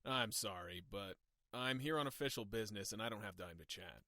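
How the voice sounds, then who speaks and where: A curt imperial official from a fantasy game, played by a man auditioning, speaks in a fairly low voice. He is formal, brusque and all business, captured with a dry, close sound. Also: fairly quick, sharp tone, dry recording. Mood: curt, businesslike. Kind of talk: game character audition read.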